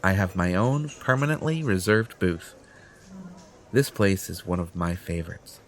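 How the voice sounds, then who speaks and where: A man's voice: low voice, lively intonation, measured pace, slight background noise. A man playing a smug, possessive, entitled superhero in an audio roleplay, pompous and condescending in manner. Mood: smug, coaxing. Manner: pompous, self-satisfied, condescending. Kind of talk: audio roleplay acting addressed to the listener.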